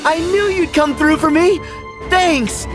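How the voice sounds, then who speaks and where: Eager, high-pitched teenage boy hero. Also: unhurried, music in the background. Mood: excited. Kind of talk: animated video game voice acting.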